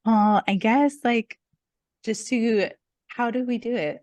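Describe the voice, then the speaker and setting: Slow-talking giggly woman, dry sound. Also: a little high, darkish tone, no room sound. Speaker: co-host. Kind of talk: casual chat.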